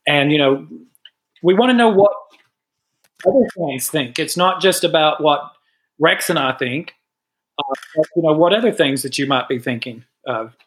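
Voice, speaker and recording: A middle-aged man co-hosting a podcast over a video call, talking earnestly in casual chat with a hesitant, halting delivery. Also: fairly high pitch, expressive, rough recording. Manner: earnest, hesitant with many uh fillers and restarts. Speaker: podcast co-host.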